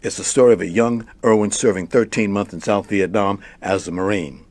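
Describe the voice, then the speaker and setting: A man's voice: lowish voice, fairly quick, a little mellow, no echo. A man from Harlem hosting an interview show with upbeat delivery, repeating phrases for emphasis. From Harlem, New York. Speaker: talk show host.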